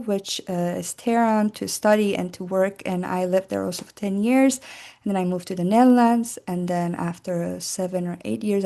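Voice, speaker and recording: A woman in her forties from Iran, with an Iranian accent, giving an informal talk about her art process. She speaks in a friendly, unhurried way and often pauses with fillers. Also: mid-range voice, a little mellow. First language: Persian. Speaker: artist presenting her work.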